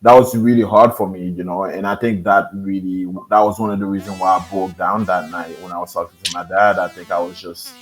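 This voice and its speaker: A man from Haiti with a Haitian accent, in his thirties, a podcast guest telling his own story in a soft, hesitant way with many fillers. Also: deep voice, level tone, fairly quick, few pauses, soft background music, rough recording. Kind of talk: interview, personal storytelling.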